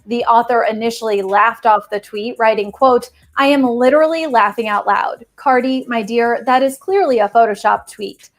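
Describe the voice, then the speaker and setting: A woman's voice: on the high side, moderate pace, hardly any pauses. A woman presenting entertainment news in a scripted narration style.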